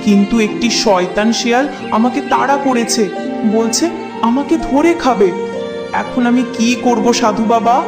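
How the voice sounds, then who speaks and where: Woman voicing an excitable, high-pitched little cartoon cat. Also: moderate pace, natural voice. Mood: pleading. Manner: exclamatory, with meowing. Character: small excitable, timid mouse turned into a cat. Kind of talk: children's moral cartoon acting. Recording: echoey, music behind.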